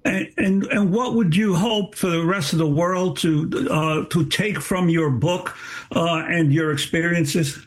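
Higher-voiced man asking halting questions, lots of uhs. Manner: halting, with repeated fillers. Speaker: co-host interviewer.

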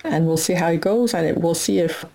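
A woman from Canada whose first language is French speaks with a French Canadian accent. Her voice sits a little low, and she lays out her thoughts in a measured, reflective manner. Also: gravelly, dark tone. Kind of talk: thoughtful discussion.

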